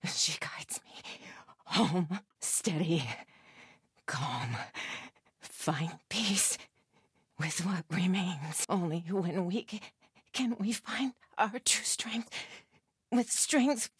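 A woman playing a devout, grimly calm cultist warrior in a video game, with slow, solemn, hushed and breathy delivery. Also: mid-range voice, no echo, studio quality. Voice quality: breathy, husky. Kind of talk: video game voice lines, solemn prayer-like murmurs.

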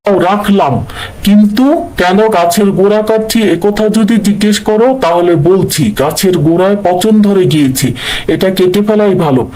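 A man performs a simple village younger brother in a cartoon, dutiful yet spirited. The voice is high, earnest and theatrical. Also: moderate pace, warm tone, close to an everyday voice, rough recording. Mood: defiant. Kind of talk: cartoon dub acting.

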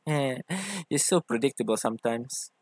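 A playful young femboy boyfriend acted by a man in an ASMR roleplay, in a dry, close recording. He is affectionate and theatrical, teasing and coaxing his partner in a medium-pitched voice. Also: lively intonation, unhurried, slightly rough, dry recording.

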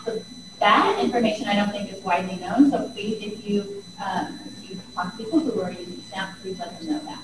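A woman's voice: mid-range voice, lively intonation, fairly quick. Woman speaking far off in an echoey hall. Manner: thoughtful, hesitant with fillers. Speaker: panelist. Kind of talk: community forum answer. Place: large community hall. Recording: echoey hall, distant with hum.

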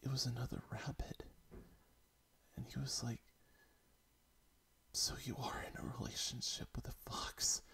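A man's voice: mid-range voice, flat delivery, measured pace, long pauses, sharp tone, slightly rough, full character voice. A man whispering the lines of a young female rabbit, anxious and tender, with a quivering voice. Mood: upset.

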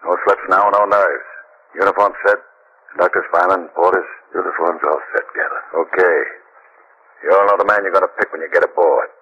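An actor playing a commanding gang boss, clipped and tough, in 1940s radio crime style. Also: male voice, low-pitched, fairly even intonation, fairly quick, lightly acted. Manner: clipped, barking orders. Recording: noisy, dull old radio recording.

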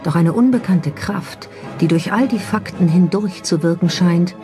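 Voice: mid-range voice, normal speed. Scripted documentary narration, mid-range voice, music behind. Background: background music.

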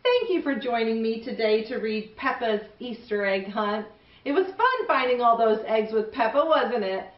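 Animated, high-voiced woman reading to children. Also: normal speed, echoey room, far from the mic, highs cut off. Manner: animated, asking the listener questions. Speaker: children's show host and storyteller. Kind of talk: children's storybook reading and chat to young viewers.

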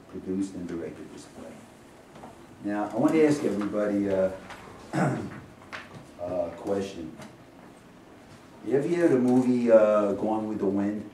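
A man's voice: fairly low pitch, warm tone. An older man giving an informal welcome speech to an audience as playwright and director, with an emphatic, rhetorical manner that keeps checking with listeners. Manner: emphatic, rhetorical, often checks with "right?" and "okay?". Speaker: playwright and director introducing a staged reading. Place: medium-sized indoor rehearsal room with audience. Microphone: camera several metres away. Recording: roomy, distant camera mic with faint noise.

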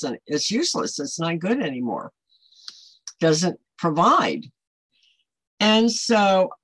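An older American woman speaks in a fairly low voice with very little room sound. She teaches scripture informally as a Bible study host. Her delivery is conversational and emphatic. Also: no echo, poor audio. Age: older, 60s or above. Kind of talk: informal devotional teaching to camera. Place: small home office, indoors.

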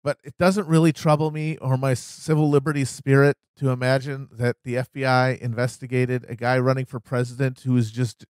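A man's voice: medium pitch, level tone, brisk, few pauses, darkish tone, no echo, studio quality. An American man co-hosting a podcast, riffing wryly in casual political comedy chat. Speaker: podcast co-host.

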